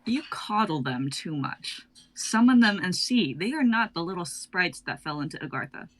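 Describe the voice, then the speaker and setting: A woman's voice: fairly low pitch, lively intonation, moderate pace, a bit bright. Lady doing a haughty bossy mentor, voice swoops up and down. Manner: haughty, commanding.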